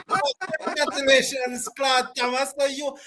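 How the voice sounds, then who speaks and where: A man's voice: extremely high pitch, flat delivery, unhurried, a crowd in the background. A man hosting a cricket panel show, chatty and often repeating his words as he starts a sentence. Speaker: host. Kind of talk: panel discussion.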